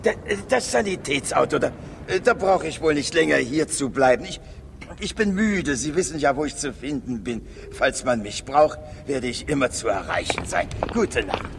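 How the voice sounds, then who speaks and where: A tired professor with a gravelly creak in his voice. He sounds uneasy, in the manner of 1960s radio drama, with faint noise behind him. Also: medium pitch, lively intonation. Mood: weary, uneasy. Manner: halting, repeats himself. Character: weary, uneasy male professor. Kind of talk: 1960s German-language radio crime drama acting.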